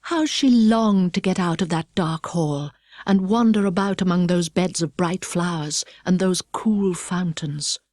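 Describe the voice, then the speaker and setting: A middle-aged British woman narrating a children's storybook with precise, expressive delivery. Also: fairly low pitch, a little slow, no echo, studio quality. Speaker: audiobook narrator. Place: dry studio.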